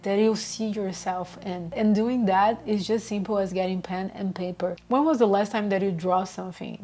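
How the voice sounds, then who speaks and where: Mellow-voiced woman vlogging gently. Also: medium pitch. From Brazil. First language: Portuguese. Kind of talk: casual reflective monologue.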